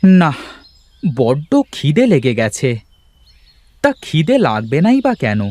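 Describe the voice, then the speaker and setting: A man's voice: on the high side, sing-song, slow pace, a little mellow, dry recording, exaggerated voice. A man voicing a poor, hardworking village farmhand and devoted husband in a cartoon, earnest, deferential and plaintive. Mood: hungry, weary. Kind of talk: Bengali moral-story cartoon voice acting.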